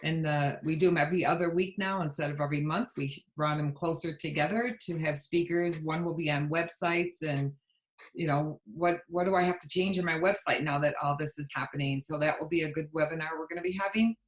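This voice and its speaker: A woman's voice: low voice, steady tone, brisk. A woman hosting a chamber webinar over a video call, friendly and plain as she announces events. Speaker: chamber of commerce host. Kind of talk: webinar wrap-up.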